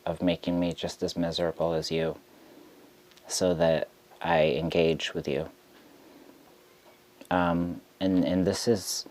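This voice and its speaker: Slow, low-voiced man venting frustration. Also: a little mellow. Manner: frustrated, deliberate, many pauses and ums. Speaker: tarot reader. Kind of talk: direct-to-camera rant.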